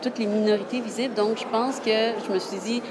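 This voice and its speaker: A woman's voice: fairly high pitch, steady tone, a little fast, noisy background. A woman with a Québécois French accent in her thirties, a journalist talking earnestly in an interview, often restarting her phrases. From Quebec. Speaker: journalist guest. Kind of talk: casual interview talk.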